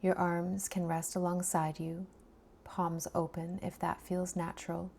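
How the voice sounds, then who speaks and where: A woman guiding a body scan meditation in a soft, soothing, breathy voice that often trails into a near-whisper, leaving long pauses between phrases. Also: fairly low pitch, flat delivery. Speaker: meditation guide.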